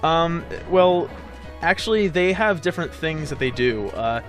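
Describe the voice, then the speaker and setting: Let's play host: higher-voiced man, casual and self-deprecating, game music behind. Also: expressive, a little slow, a bit bright. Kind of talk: casual gameplay commentary.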